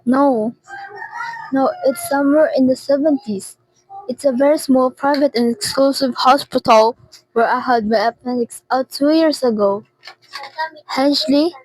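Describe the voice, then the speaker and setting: A teenage girl acting in a radio drama as a frantic, demanding invalid woman alone at night, anxious and repeating herself haltingly. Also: high-pitched, a little mellow, dry recording, rough recording. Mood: impatient, demanding. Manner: anxious, imperious, halting repetitions.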